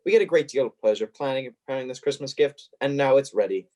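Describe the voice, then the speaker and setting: An actor playing a courteous 1930s radio announcer, reading a sponsor's message in a formal, earnest manner. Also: male voice, mid-range voice, animated, quick pace, darkish tone, lightly acted. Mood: grateful, earnest. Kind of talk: scripted 1930s radio advertising.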